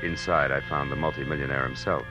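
Tough private eye, American, very low voice, wry deadpan delivery, old radio drama, music behind. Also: male voice, fast. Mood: wry narrating. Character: hard-boiled male private detective, dry, unflappable, world-weary. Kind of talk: 1940s radio detective acting, first-person narration.

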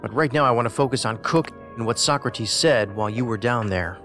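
An actor playing a cerebral, pedantic investigator in an audio drama, speaking in a measured, analytical way. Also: male voice, mid-range voice, a bit bright, natural voice. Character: cerebral, musing male investigator. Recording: faint music behind the voice.

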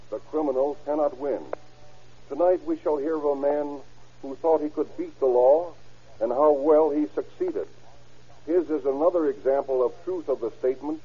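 A man's voice: mid-range voice, husky. A male law officer giving a stiff, moralizing, scripted address as a guest on an old radio program. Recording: muffled 1930s radio recording.

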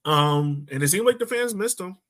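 A man hosting a casual sports podcast, talking informally in a measured, slightly tentative way. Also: sits high, lively intonation, a bit bright. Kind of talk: casual football discussion.

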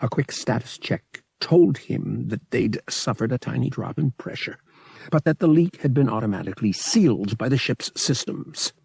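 Male fiction narrator: expressive, dramatic reading, husky tone, very dry close sound. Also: mid-range voice, moderate pace, dry recording. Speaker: audiobook narrator.

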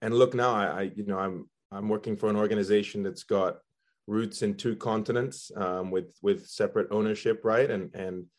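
A middle-aged man who grew up in Belgium speaks in a low voice as a guest in an interview. He answers in a measured, reflective way, pausing on ums as he thinks. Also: fairly even intonation. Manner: thoughtful, measured, with frequent ums. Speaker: guest, soccer general manager.

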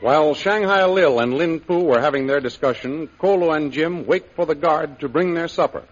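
A man's voice: on the high side, moderate pace. A man announcing and narrating in a dramatic 1930s radio style, emphatic and showmanlike. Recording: muffled 1930s radio recording. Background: music.

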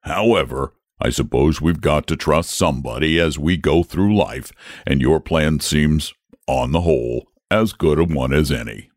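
A man's voice: extremely low pitch, unhurried, no room sound, clean studio sound. A man voicing a big, genteel, old-fashioned storybook dragon with a drawling, testy, fussily polite manner. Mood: testy, anxious. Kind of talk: storybook character voice.

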